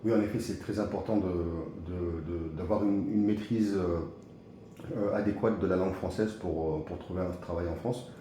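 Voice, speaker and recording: A man's voice: low-pitched, steady tone, normal speed, mellow. A man interviewing a job candidate, asking structured questions in a polite, encouraging way with fillers. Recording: very echoey room with faint noise.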